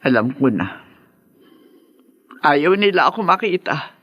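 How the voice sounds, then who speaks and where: Proud, bitter mother, dramatically animated. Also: sits low, measured pace, lo-fi sound, heavily acted. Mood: anxious, bitter. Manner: dramatic, demanding. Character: proud, bitter mother estranged from her family. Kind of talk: radio drama acting.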